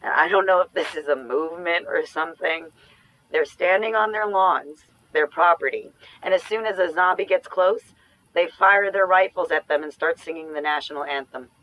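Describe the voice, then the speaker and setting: A woman's voice: on the low side, normal speed, dry recording, dull audio, natural voice. A woman acting an anxious, sardonic ex-girlfriend in a naturalistic audio drama, speaking in a dry, opinionated, conversational way. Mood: exasperated. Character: anxious, sardonic ex-girlfriend living through a zombie outbreak.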